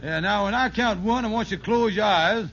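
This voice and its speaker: A man's voice: high-pitched, brisk, a bit bright. An actor playing a scheming, pompous conman who uses big words and mangled grammar in an old radio sitcom. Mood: bossy, sly. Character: scheming, fast-talking male conman, pompous fake fitness instructor. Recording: muffled, noisy old radio broadcast.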